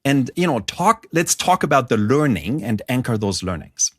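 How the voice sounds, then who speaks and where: A middle-aged man from Germany with a German accent, telling stories as an interview guest in a lively, rambling way. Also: mid-range voice, normal speed, slightly rough, no echo, clean studio sound. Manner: animated storyteller, frequent "like" and restarts. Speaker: guest author. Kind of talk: conversational interview.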